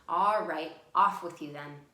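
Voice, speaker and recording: A big hungry cave troll from a children's storybook, acted out by a woman, bellows slowly and bossily. The voice is loud and menacing, ringing in an echoey room. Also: low voice. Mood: gruff, commanding.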